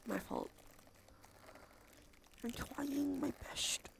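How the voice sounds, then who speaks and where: Creaky, whispery woman ASMR streamer. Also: high voice, very slow, a little hiss or hum. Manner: breathy, frequent drops into whisper; casual, sweary, affectionate. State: sniffly from allergies; sore, tired throat. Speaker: livestream host. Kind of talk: soft ASMR livestream chat, often whispering.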